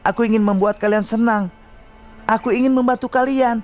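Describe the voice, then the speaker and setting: A man's voice: very high-pitched, steady tone, a little fast. A man voicing a kind, eager young steam train in a children's cartoon. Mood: regretful. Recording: music behind the voice.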